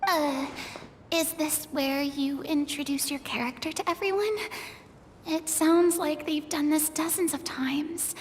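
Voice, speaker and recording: A woman's voice: high voice, steady tone, takes it slowly, a little hiss or hum. A woman playing an earnest young noblewoman in an anime game, speaking with some hesitance. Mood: nervous, earnest. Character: earnest young noblewoman hunter who dreams of performing.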